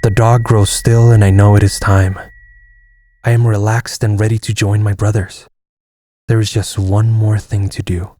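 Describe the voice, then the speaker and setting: A man playing a stoic, fatalistic Navajo army air force lieutenant in an audio comic, solemn and measured. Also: fairly low pitch, level tone, warm tone, no echo, lightly acted. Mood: resigned, calm. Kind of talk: dramatic first-person narration and dialogue in an audio comic.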